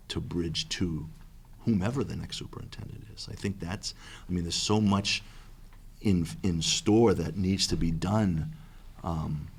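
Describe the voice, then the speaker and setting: A man with a very deep, gravelly and husky voice answers questions at a school committee meeting. He sounds keen and upbeat, though he stops and restarts his phrases. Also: darkish tone. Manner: enthusiastic, halting with restarts. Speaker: interim superintendent candidate. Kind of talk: public interview answer.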